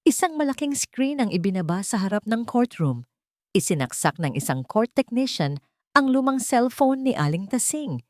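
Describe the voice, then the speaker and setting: A woman narrating a scripted dramatic story with deliberate, melodramatic phrasing. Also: a little high, sing-song, darkish tone, dry recording, studio quality.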